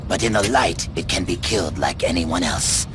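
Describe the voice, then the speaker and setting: An actor playing a cocky, wily goblin assassin in a fantasy game, sly and theatrically taunting. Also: male voice, low voice, lively intonation, normal speed, noisy background. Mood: smug. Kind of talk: fantasy game cutscene acting. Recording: loud music behind the voice.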